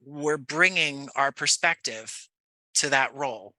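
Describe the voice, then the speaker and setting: Man with a very bright, forceful voice. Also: medium pitch, slow pace, no echo. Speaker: interview guest, actor and audio drama creator. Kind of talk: conversational interview answers.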